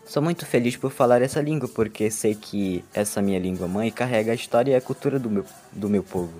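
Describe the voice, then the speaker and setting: A man's voice: on the low side, brisk, warm tone, faint music behind. A young man from Amazonas, Brazil, whose first language is Matsés, earnestly reading a personal statement as a university student.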